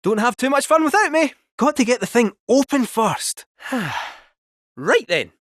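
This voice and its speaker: A man's voice: extremely high pitch, big pitch swings, unhurried, fairly bright tone, no echo, studio quality, exaggerated voice. A man voicing a chatty male robot cat fortune-teller with a Scottish accent, cheeky and folksy, full of rhyming quips. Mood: playful bravado. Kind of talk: video game voice acting.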